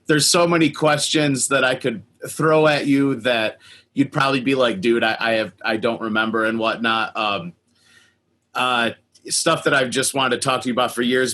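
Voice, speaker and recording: A man's voice: mid-range voice, bright, forceful tone. A man hosting a casual interview, talking informally like an admiring fan. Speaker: interviewer, host.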